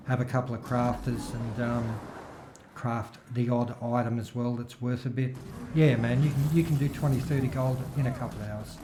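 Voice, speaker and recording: A man's voice: medium pitch, warm tone, roomy sound, a little hiss or hum. Chatty middle-aged man. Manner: rambling, chatty, with filler-heavy restarts. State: throat still recovering, voice a little worn. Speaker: gaming video host. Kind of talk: casual gameplay commentary.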